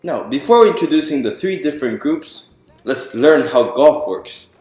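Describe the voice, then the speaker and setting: A man's voice: mid-range voice, expressive, moderate pace, a little mellow, faint music behind. Man reading storytime in an echoey room. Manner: explanatory, presentational, addressing listeners with questions. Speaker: author reading own picture book to an audience. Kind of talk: storytime read-aloud to an audience.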